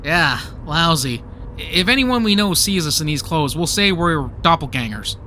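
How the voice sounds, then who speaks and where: Bright, high-pitched, easygoing young-sounding guy played by a man. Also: lively intonation, normal speed, slight background noise. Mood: easygoing, upbeat. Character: breezy, impulsive, good-natured man driving through a snowstorm. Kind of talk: radio play acting.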